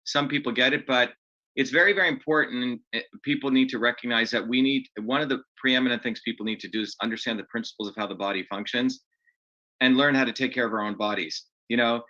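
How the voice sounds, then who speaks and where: A man's voice: mid-range voice, fast talker, bright, forceful tone, muffled recording. A man hosting an online open house meeting in a casual, upbeat way, often restarting his sentences. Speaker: host.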